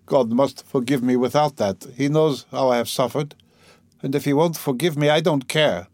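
A man's voice: medium pitch, moderate pace, a little mellow, no echo, studio quality, natural voice. A man voicing a dying, delirious and bitter sick mother in an audiobook, faint and gasping, with a fretful, rambling, dramatic delivery. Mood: bitter, defiant.

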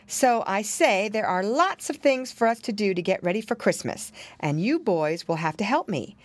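A woman's voice: medium pitch, animated, moderate pace, no echo, pitched above the performer's own voice, natural voice. A woman voicing a busy, kindly mother pig who explains things patiently in a motherly way, for a storybook. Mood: instructive.

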